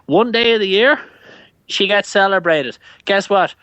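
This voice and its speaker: A man's voice: high-pitched, measured pace, sharp tone, no echo, highs cut off. A man with an Irish accent, ranting heatedly and full of swearing in a casual show chat. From Ireland. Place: Canada.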